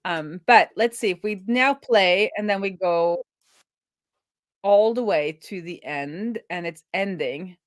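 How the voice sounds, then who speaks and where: Woman dev doing a live coding stream, kinda low voice, chatting and talking to herself as she works. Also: slow pace. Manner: chatty, thinking aloud with self-talk. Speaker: streamer and web developer. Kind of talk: casual live coding stream, thinking aloud.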